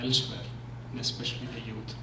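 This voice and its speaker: A man's voice: mid-range voice, steady tone, quick pace. A man giving an earnest, reflective eulogy at a condolence meeting. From India. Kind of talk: formal eulogy speech. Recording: echoey hall, distant mic, faint noise.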